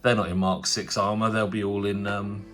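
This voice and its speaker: A man's voice: lowish voice, little pitch movement, moderate pace, a bit bright, soft background music. Stuffy-nosed man chatting casually. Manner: chatty, rambling, frequent fillers and restarts. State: nasal from a cold. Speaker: tabletop wargaming channel host. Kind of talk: casual unboxing commentary.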